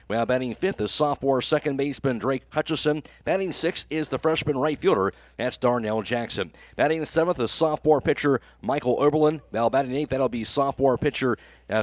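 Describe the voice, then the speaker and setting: A man's voice: medium pitch, no echo. A man working as a radio announcer, reading scripted copy in the manner of local sports broadcasts. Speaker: radio play-by-play announcer reading ads and lineups.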